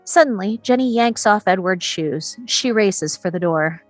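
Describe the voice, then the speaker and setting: A woman's voice: medium pitch, expressive, a little slow, dry recording, soft background music. A woman narrator reading screenplay action and scene descriptions at a table read. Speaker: narrator reading stage directions.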